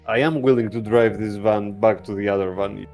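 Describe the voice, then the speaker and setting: Man, fairly low pitch, casual game player, in-character planning, faint music. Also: steady tone, normal speed, gravelly, darkish tone.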